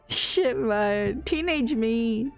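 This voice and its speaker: Slow-talking British woman streamer. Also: high-pitched, a bit bright. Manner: rambling, laughing, swears freely. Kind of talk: casual live-stream chat.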